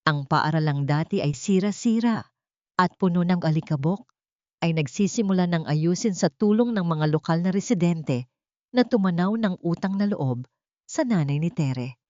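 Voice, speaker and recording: A woman's voice: fairly low pitch, animated, normal speed, darkish tone, dry recording, muffled recording. A woman reading a dramatic story as a scripted audiobook narration.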